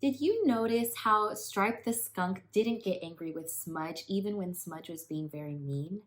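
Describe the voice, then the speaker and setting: A woman teacher reading a children's storybook aloud with expressive narration. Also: mid-range voice, unhurried.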